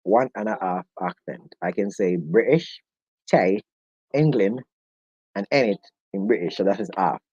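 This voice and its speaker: A man's voice: deep voice, expressive, a little slow, darkish tone, slightly rough, no echo. A man with a Jamaican accent hosting a casual live discussion, joking and laughing often with the viewers. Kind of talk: casual live-stream chat. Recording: dull lo-fi sound.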